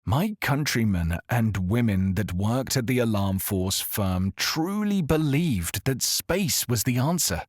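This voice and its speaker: Male actor as exiled therapist, audio drama confession, slow deliberate delivery, solemn, pleading, wide expressive intonation, dramatic pauses. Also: mid-range voice, no echo, studio quality. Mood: earnest, justifying. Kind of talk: dramatic scripted monologue, audio fiction.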